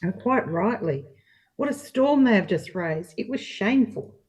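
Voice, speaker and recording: A woman's voice: medium pitch, lively intonation, normal speed, darkish tone, husky, distant mic, rough recording. A woman playing a homely, pious old nanny in an amateur play reading, speaking in a plain, consoling way. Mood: grumbling, settled.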